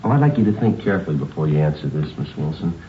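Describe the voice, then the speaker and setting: A man playing a calm, methodical American police sergeant in a radio drama, speaking in a clipped, understated way. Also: very deep voice, very fast, slight background noise. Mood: calm questioning. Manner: clipped, matter-of-fact, understated. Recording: muffled old radio recording with music.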